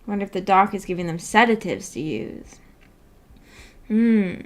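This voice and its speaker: A woman hosting a casual livestream discussion, musing tentatively out loud with a giggly manner. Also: mid-range voice, unhurried. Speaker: host of a book club stream.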